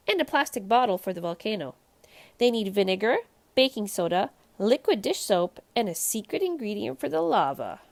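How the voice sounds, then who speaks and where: A woman hosting a children's read-aloud, narrating a storybook in an expressive, animated way. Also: a little high, gravelly.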